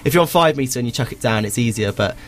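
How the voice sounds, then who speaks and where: A young man in his teens chatting as a guest athlete, easygoing and quick to laugh as he explains things. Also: mid-range voice, animated, soft background music. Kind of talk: casual chat.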